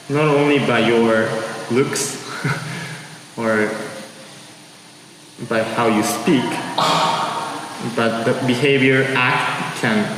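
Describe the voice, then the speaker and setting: A young man from Japan speaks slowly and haltingly, urging his listeners on with earnest, pleading warmth. His mid-range voice rings through a big echoey hall with a long reverb tail. Steady background noise sits under his words. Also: mid-range voice. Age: 20s. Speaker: exchange student. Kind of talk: earnest motivational address to listeners.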